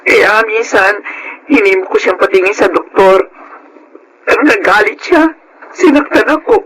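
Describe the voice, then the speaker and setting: A woman's voice: medium pitch, lively intonation, measured pace, fairly bright tone, slight background noise, poor audio. An actress playing a battered, long-suffering Filipina wife and mother in a radio drama, speaking with wounded emotion. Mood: sad, resigned. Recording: music under the voice.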